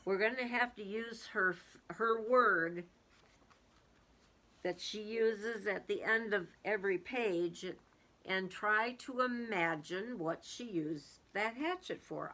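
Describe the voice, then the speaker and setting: A woman's voice: medium pitch, bright, forceful tone. A woman reading a storybook aloud to young children, expressively, with casual musing commentary.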